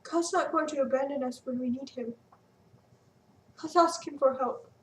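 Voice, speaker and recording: A woman's voice: sits high, moderate pace, close to an everyday voice. A woman reading a storybook, voicing an earnest young boy who comforts his friend, with a roomy echo from a distant mic. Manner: soothing, earnest.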